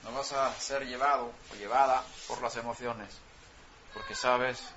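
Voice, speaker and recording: A man's voice: mid-range voice, steady tone, measured pace, noisy background. A man whose first language is Swedish, preaching a sermon and pressing his point with rhetorical questions. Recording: dull lo-fi sound, faint ambience.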